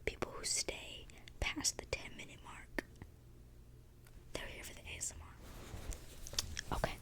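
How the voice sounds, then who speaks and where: Whispering woman reading aloud for ASMR. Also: slow. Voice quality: breathy, unvoiced whisper. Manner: soft, intimate delivery. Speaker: ASMR video creator. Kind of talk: whispered ASMR book reading with casual asides. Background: thunderstorm outside the window.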